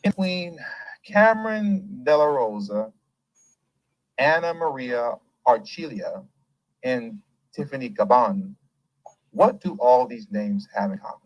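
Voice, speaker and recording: A man's voice: mid-range voice, takes it slowly, pauses often, dry recording, poor audio. Male show host, middle-range voice, reads the news and keeps cutting in with his own opinions; dry, close recording. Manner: reads a passage, then stops to add his own remarks; animated, interrupts himself with asides and laughs. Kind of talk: political commentary, reading an article aloud.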